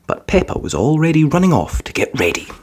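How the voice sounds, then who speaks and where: A woman narrating a children's storybook in an expressive, deliberate read-aloud style. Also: deep voice, slight background noise.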